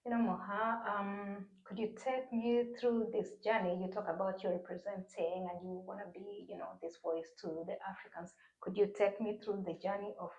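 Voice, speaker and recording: A woman's voice: medium pitch, level tone, moderate pace, few pauses, darkish tone, echoey room, lo-fi sound. Woman host asking friendly questions. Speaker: interview host. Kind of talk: casual interview.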